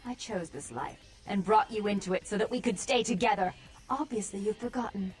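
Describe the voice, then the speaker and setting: A woman playing a vampire with two warring personalities, stern and wild, in dramatic video game acting that swings between hushed and fierce. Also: medium pitch, brisk, breathy edge, faint music behind, natural voice. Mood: cold, accusing.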